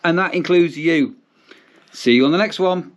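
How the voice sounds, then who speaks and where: British male vlogger, fairly high voice, casual and jokey delivery. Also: normal speed, dull audio. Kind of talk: casual vlog chat.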